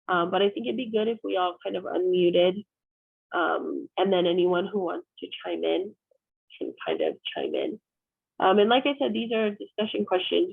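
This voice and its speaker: A woman's voice: lowish voice, gravelly, slightly rough, no room sound. A woman in her thirties hosting an online group discussion over a video call, candid and conversational with frequent fillers. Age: 30s. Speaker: discussion host. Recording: dry, phone-like video call audio.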